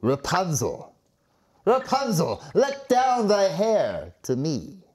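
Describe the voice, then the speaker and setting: A man voicing a menacing, commanding fairy-tale enchantress with slow, drawn-out, archaic imperious speech. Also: sits high, huge pitch swings, slightly rough, voice pushed up, full character voice. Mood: calling out.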